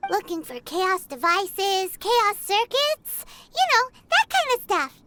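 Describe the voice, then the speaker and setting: A squeaky, excitable fairy sidekick. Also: female voice, slow, very noisy, full character voice. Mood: excited. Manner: excitable, chatty, refers to herself in third person. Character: tiny chirpy fairy companion girl. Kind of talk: video game voice acting. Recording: game audio with loud music.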